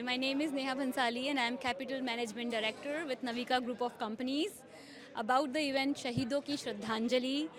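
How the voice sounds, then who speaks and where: A woman's voice: high voice, fairly bright tone. A woman with an Indian accent, a company director, giving chatty, informal interview remarks about an event.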